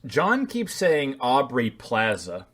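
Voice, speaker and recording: A man's voice: medium pitch, wildly swooping pitch, slow, fairly bright tone, husky. A man hosting a podcast, upbeat in casual chat and steering the conversation back on track. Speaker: podcast host.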